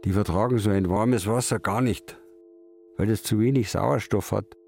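A man's voice: on the low side, moderate pace, gravelly, a little mellow. An actor playing a stubborn, brooding old fisherman, telling his story in a radio drama. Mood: worried, grumbling. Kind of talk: literary radio drama narration in third person.

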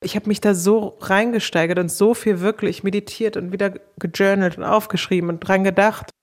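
A woman's voice: medium pitch, steady tone, fairly quick. A woman telling her own story as an interviewee, in casual, conversational speech. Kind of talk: casual personal recollection.

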